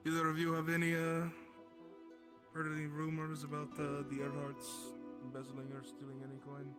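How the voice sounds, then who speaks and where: A man plays an inquisitive townsman in a fantasy roleplay. His slightly high voice is blunt and halting as he probes, and loud music covers it. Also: completely flat delivery, close to an everyday voice. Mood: probing. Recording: distant voice under loud music.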